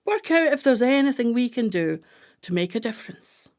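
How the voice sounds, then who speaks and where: A woman acting in a radio play as a brisk, practical wife with a composed, wry manner. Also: a little high, big pitch swings, darkish tone. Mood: firm, soothing. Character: brisk, practical wife, former tennis champion turned coach.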